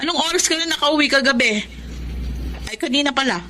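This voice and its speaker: A woman's voice: sits high, fairly quick, slight background noise. An actress playing a hardworking, hurt single mother, speaking expressively in a Filipino accent in an emotional drama. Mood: caring, nagging. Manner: emotional, expressive, plain-spoken. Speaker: actor in a drama. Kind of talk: emotional Filipino radio-style drama acting.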